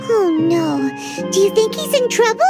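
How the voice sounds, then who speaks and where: A woman's voice: extremely high pitch, expressive, moderate pace. A woman voicing a small, childlike fairy companion in a video game, perky and eager in an exclamatory way. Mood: worried. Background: game music.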